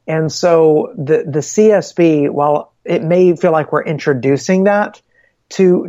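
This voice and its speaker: A man answering interview questions as a guest from a Bible publishing ministry, in an earnest, measured way that builds each point step by step. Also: fairly high pitch, warm tone, dry recording. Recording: slightly dull, lo-fi sound.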